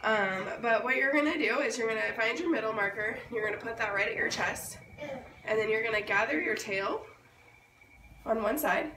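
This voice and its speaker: A hoarse, sick-sounding woman giving a casual, informal step-by-step hands-on tutorial. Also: fairly high pitch, normal speed. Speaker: babywearing tutorial host. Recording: roomy, distant mic, noisy background.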